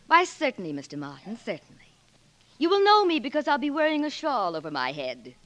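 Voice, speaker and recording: An actress in a 1940s radio drama playing a sharp-tongued, sarcastic reporter, dry, tart and quick-witted. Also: female voice, a little high, huge pitch swings, dry recording, dull audio. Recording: 1940s radio broadcast, music behind.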